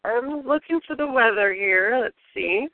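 A hoarse woman with a high voice, chatting and rambling with easy laughs. She calls in from her parked car over a dry, narrow phone line. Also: expressive, measured pace, dry recording.

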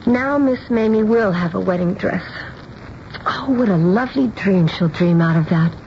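An actress playing a dramatic young bride-to-be daughter in a radio drama, expressive and emphatic in delivery. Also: female voice, medium pitch, moderate pace, natural voice. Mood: resigned, softening. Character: dramatic young bride-to-be daughter, petulant but soft-hearted. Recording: dull, noisy old radio recording.

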